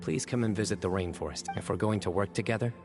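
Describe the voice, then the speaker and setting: Man acting stern analytical official, lowish voice, calm authority, formal, video game, music behind. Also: natural voice. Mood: resolute. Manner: deliberate, explanatory, formal. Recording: music under the voice.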